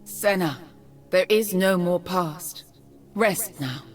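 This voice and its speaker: An actress voicing a regal, composed mage-politician from a noble family in a video game, with formal, measured, haughty speech. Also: female voice, fairly low pitch, soft background music. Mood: somber. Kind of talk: scripted video game voice lines.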